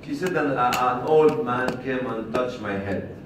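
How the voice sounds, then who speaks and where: Older man in a very echoey room. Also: mid-range voice. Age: 60s. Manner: deliberate, with repetition and pauses for emphasis. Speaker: speaker telling a story to a seminar group. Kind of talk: spoken storytelling to an audience. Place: medium-sized indoor seminar room. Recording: distant, very echoey room recording with faint noise.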